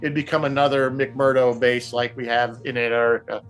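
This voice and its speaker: A man with a mid-pitched voice talks casually on a radio roundtable, with music underneath. He explains at length, haltingly, often doubling back on his words as he thinks. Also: flat delivery, poor audio. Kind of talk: casual discussion, explaining a TV series.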